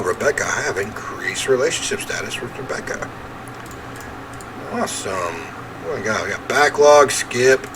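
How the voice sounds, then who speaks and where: A man hosting a gaming video, reading game text aloud and chatting with informal asides, with noise behind his voice. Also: medium pitch. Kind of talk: casual let's-play commentary, reading game text aloud.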